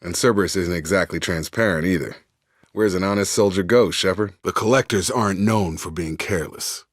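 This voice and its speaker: A steady, loyal soldier from a video game, with a low voice and a close, dry sound. He speaks plainly in clipped military phrases, a guarded realist who keeps to his principles. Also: a little fast, no echo. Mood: matter-of-fact, guarded. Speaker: video game squadmate and security officer.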